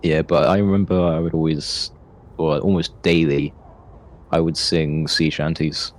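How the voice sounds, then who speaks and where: A man with a low voice narrating a tabletop game as game master and chatting casually between descriptions. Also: flat delivery, measured pace. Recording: soft video game music behind. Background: soft video game music.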